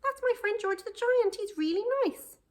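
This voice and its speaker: A woman voicing a kind, earnest little boy who speaks high and eagerly. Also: lively intonation, moderate pace. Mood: pleading.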